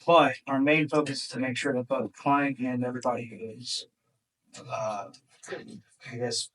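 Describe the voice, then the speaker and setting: A man's voice: mid-range voice, fairly even intonation, moderate pace, a bit bright, recorded from a distance, poor audio. A man giving a loose, unscripted sponsor introduction at a meetup. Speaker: sponsor representative. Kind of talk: improvised introduction.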